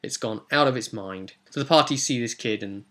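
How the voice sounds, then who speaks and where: A man's voice: mid-range voice, a little fast, a bit bright. A man giving a casual, rambling recap of a tabletop role-playing session in an informal way, as the game master.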